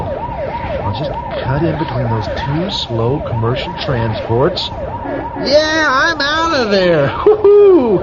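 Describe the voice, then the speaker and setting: An actor playing a weary, sarcastic commuter pilot grumbling to himself in a radio drama. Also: male voice, sits high, heavily acted. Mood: excited. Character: weary, irritable male space commuter muttering to himself. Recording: dull, noisy spacecraft cabin sound effects.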